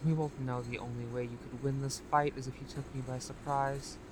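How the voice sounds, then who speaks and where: An actress playing a calmly manipulative female recruiter in an audio drama, with a measured, condescending delivery. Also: a bass voice, noisy background. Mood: mocking.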